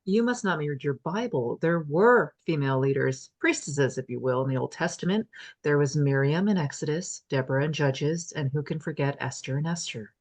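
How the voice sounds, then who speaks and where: A confident female priest played by a woman with a slightly low voice. She is plain-spoken and teasing, talking in a wry, conversational way through a comedy script read. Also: moderate pace, darkish tone. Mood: self-assured.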